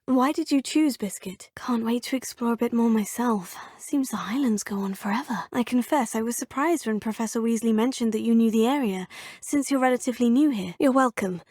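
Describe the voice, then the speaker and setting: Actress as an inquisitive young witch, formal and polite, breathy tone, close dry recording. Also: a little high, a little fast, darkish tone, dry recording, close to an everyday voice. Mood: curious polite. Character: polite curious young female witch student. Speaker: player character. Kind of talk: video game dialogue lines.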